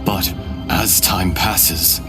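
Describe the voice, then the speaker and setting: A low-voiced, solemn ancient dragon soul in human form, telling its tale in a video game. The delivery is slow, formal and grave, with deliberate pauses and music clearly behind it. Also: male voice, steady tone. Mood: wistful, solemn. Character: solemn ancient dragon fragment in a man's body. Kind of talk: dramatic video game dialogue.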